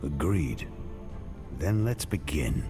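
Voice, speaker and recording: A stern game warrior with a low, gravel-edged voice. He is terse and grim, brooding and guarded, and his pitch moves widely as he speaks over prominent music. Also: big pitch swings, slow pace, exaggerated voice. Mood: curt, stern. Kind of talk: video game cutscene acting.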